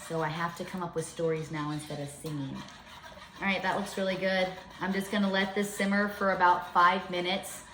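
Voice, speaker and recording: A woman's voice: fairly low pitch, steady tone, brisk, echoey room, background noise. A woman in her fifties giving a chatty, step-by-step home cooking demonstration in her kitchen. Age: 50s.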